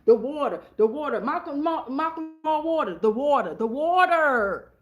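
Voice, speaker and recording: A woman's voice: sits high, animated, moderate pace. A woman hosting an improvised tarot reading, talking casually in a blunt, slangy, profane way and repeating phrases for emphasis.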